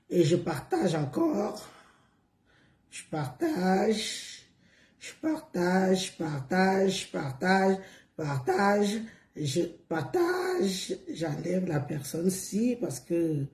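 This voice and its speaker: Slow, hoarse woman live streaming. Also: fairly low pitch, warm tone, roomy sound. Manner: repetitive, coaxing, drawn-out words. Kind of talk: casual live stream chat.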